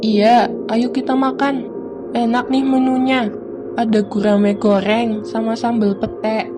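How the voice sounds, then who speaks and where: Lady doing a chatty grandma, bit high voice, loud music underneath. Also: flat delivery, slow pace. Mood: friendly. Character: grandmother, friendly and chatty matriarch.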